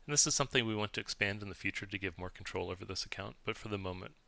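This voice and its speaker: A man giving a methodical, explanatory walkthrough as a software demo presenter, recorded close on the mic. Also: fairly low pitch, rapid-fire, creaky. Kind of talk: instructional screen-share walkthrough, semi-scripted.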